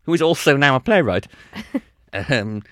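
Halting, lively man telling stories. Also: medium pitch, no echo, clean studio sound. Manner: halting, many restarts, laughs often. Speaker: comedy podcast guest. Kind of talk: rambling anecdote.

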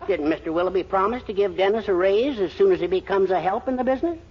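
An American actor playing a meek, henpecked middle-aged husband, mild and placating, in a 1940s radio comedy. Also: male voice, high voice, fast talker, slightly rough. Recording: noisy, dull 1940s radio recording.

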